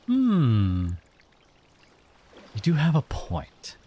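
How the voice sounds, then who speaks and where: A man's voice: on the high side, wildly swooping pitch, drawn-out words, mellow, slight background noise, full character voice. A man playing a breezy, ambitious monster envoy in an audio drama, playful and showy in his theatrical delivery. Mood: sly, grand.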